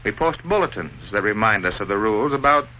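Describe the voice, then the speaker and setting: A man playing an earnest school authority who explains rules in a deliberate, didactic way, like a 1950s instructional film. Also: fairly low pitch, very animated. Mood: patient instructing. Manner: didactic, deliberate, asks leading rhetorical questions. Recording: dull, noisy old 1950s film soundtrack.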